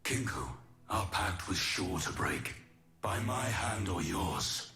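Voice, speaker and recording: A deep-voiced ancient god-warrior from a video game, solemn and noble. He declaims slowly and formally, with an echo around the voice and music behind. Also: animated, sharp tone, heavily acted. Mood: stern, resolute. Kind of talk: scripted video game voice lines.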